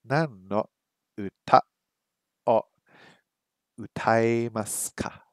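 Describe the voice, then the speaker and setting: A middle-aged man live-streaming from a small room at home on a headset mic, chatting casually and repeating practice phrases slowly. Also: mid-range voice, no echo, clean studio sound. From English first language, lives in Hong Kong. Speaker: streamer learning Japanese.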